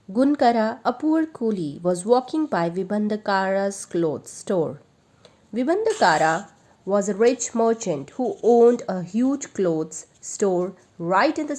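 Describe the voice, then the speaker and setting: Slow-paced woman telling a children's story. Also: mid-range voice, a little mellow. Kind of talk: expressive children's story narration.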